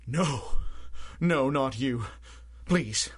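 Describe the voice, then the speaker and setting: An actor playing a frightened, pleading villain, a hired public speaker, in a melodramatic radio drama. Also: male voice, mid-range voice, extremely slow, breathy edge.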